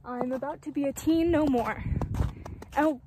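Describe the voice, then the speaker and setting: A woman's voice: sits high, unhurried, background noise. A twenty-year-old woman vlogging outdoors on a phone, rambling and self-mocking as she muses aloud, swearing often. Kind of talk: casual reflective vlog talk. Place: outdoors in a dry mountain meadow. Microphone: phone held at arm's length, later propped on the ground.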